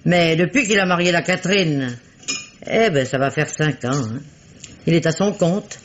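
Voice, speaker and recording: A woman's voice: sits low, expressive, normal speed, slight background noise. An actress playing a talkative, gossiping village innkeeper woman in a 1950s radio drama. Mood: chatty. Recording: dull old radio recording.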